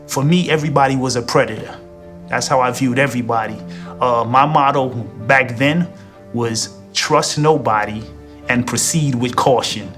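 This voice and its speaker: A man's voice: medium pitch, moderate pace, a bit bright, faint music behind. A man who served time, speaking candidly and reflectively in a documentary interview. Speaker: former inmate interviewee.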